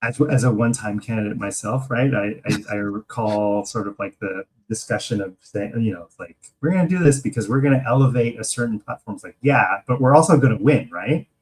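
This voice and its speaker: An American man co-hosting a podcast, talking casually about politics and thinking aloud in a hesitant, halting way. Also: lowish voice, breathy edge, rough recording. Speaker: podcast co-host.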